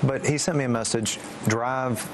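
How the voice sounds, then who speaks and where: A man's voice: medium pitch, steady tone, background noise. A man recounting his own story as an interview guest, stammering and restarting as he goes. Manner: halting, stammering delivery. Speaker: interview guest, former political aide.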